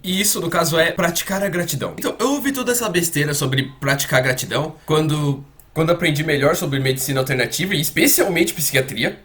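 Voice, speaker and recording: Man voicing an earnest psychiatrist coach, Brazilian accent, high voice, emphatic, conversational self-help explainer, rhetorical questions. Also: quick pace, a bit bright, lightly acted. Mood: earnest explaining. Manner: emphatic, repeats words, asks rhetorical questions. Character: earnest male psychiatrist coaching gamers on habits.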